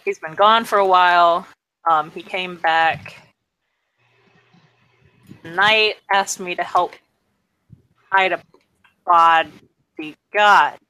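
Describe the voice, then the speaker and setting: Bright-voiced woman tabletop player. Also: fairly low pitch, fairly even intonation, extremely slow, long pauses, clear, resonant tone, no echo, poor audio. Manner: blunt and swearing, lots of fillers. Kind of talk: casual in-character roleplay chat.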